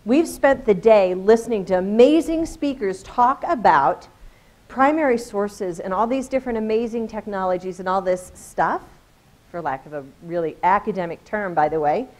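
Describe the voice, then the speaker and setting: A woman with a loud, carrying voice addresses a conference room. She talks casually and with energy, keeping her presentation light and joking with her audience. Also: medium pitch, moderate pace. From Colorado.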